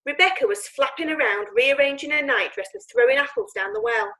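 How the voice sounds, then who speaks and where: A woman's voice: sits high, sing-song, brisk, sharp tone, heavily acted. A woman playing a gossipy court witness. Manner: gossipy storytelling. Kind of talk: amateur audio drama acting.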